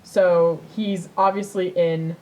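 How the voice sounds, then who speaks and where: A woman's voice: fairly low pitch, steady tone, slow pace, warm tone, rough recording. A woman co-hosting a podcast in casual chat, rambling and repeating herself as she tells things. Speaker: podcast co-host.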